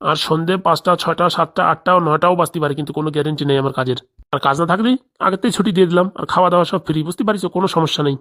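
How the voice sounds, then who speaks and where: A woman's voice: lowish voice, rapid-fire, fairly bright tone, lightly acted. A woman voicing a crooked, bossy male village boss in a comedy cartoon, scheming aloud with a rural Bengali accent.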